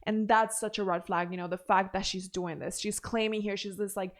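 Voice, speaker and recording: A woman's voice: medium pitch, fairly even intonation, fairly quick. Chatty woman commentary host. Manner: chatty, reads posts aloud with asides. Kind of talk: drama commentary reading posts.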